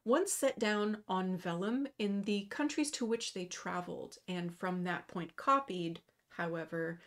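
Woman in her thirties, slide lecture, careful measured reading, mid pitch, webcam in a small room. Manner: measured, careful reading with slow emphasis on terms. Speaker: runology lecturer. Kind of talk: scripted lecture over slides. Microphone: webcam video in the corner.

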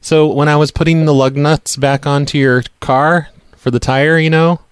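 A man's voice: a little high, fairly even intonation, normal speed, smooth, no room sound, studio quality. A man making a prank phone call in casual speech, hesitant and argumentative as he stumbles over his words. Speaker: prank caller, show host.

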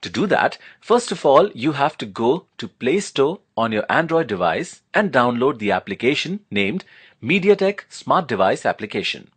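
Husky male product demonstrator, lively intonation. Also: medium pitch, normal speed. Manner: instructional, step-by-step explaining. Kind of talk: scripted product demonstration narration.

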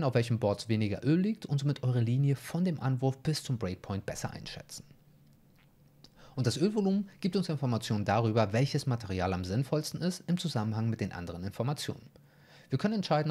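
A man's voice: mid-range voice, warm tone. A young man teaching bowling in a methodical, technical tutorial, recorded at a desk mic in a small home room. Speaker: bowling coach. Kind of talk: explanatory tutorial. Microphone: desk mic in front.

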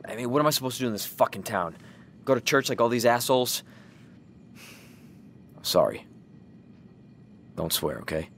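A man playing a weary, sardonic single father, gruff but caring, who swears when defensive. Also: medium pitch, lively intonation, moderate pace, long pauses, a bit bright, a little hiss or hum.

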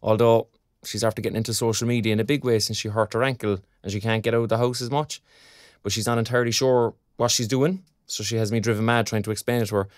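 A man's voice: on the low side, steady tone, fast talker, no echo, clean studio sound, close to an everyday voice. A man with an Irish accent playing a young graduate in an audio drama, teasing and encouraging in colloquial talk. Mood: fond, amused. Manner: colloquial, bantering. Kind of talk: naturalistic audio drama dialogue.

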